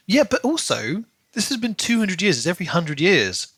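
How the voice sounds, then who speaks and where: A man co-hosting a podcast, casually discussing a book in an animated way and putting on mocking imagined quotes. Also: sits high, normal speed, husky, dry recording. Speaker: podcast co-host.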